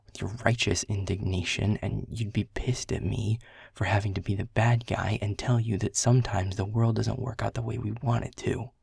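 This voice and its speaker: A man's voice: fairly low pitch, fairly even intonation, normal speed, creaky, a little mellow, breathy edge, dry recording, studio quality, full character voice. A man playing a world-weary male middle manager who is blunt and swears, confessing in a half-whisper. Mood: frustrated. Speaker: boss. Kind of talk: audio roleplay acting.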